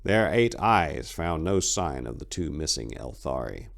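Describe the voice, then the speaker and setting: A male audiobook narrator delivers scripted fantasy prose dramatically. His voice is low, with animated rises and falls across the phrases. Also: unhurried, vocal fry.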